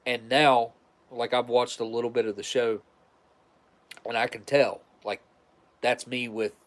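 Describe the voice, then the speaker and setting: A man's voice: medium pitch, little pitch movement, a little slow. Man, congested and nasal, loose rambling host chat with lots of restarts. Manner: rambling, restarts often, conversational. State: stuffed-up nasal voice. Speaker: livestream host. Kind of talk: casual rambling monologue.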